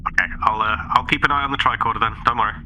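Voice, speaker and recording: An eager, friendly young-spirited starship crewman acted by a man, with a very bright, forceful tone. It is tabletop sci-fi roleplay with faint noise behind. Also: mid-range voice, steady tone, racing, low-quality recording. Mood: friendly, reassuring.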